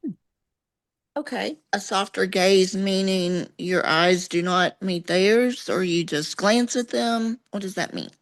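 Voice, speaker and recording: A soft-spoken American woman co-hosting a podcast, chatting gently and agreeably. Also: mid-range voice, slow pace. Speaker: podcast co-host. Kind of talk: casual chat.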